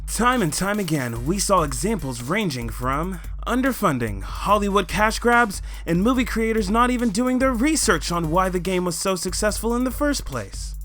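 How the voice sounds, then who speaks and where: A young American man co-hosting a scripted countdown with excitable, hammy exasperation. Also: high-pitched, moderate pace, hardly any pauses. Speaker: co-host of a countdown show. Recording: music under the voice.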